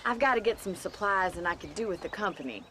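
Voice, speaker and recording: Actress as a lively, teasing frontier ranch woman, American. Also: medium pitch, fast, slight background noise, natural voice, low-quality recording. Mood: chatty. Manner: forthright, wry, playful. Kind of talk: video game cutscene acting.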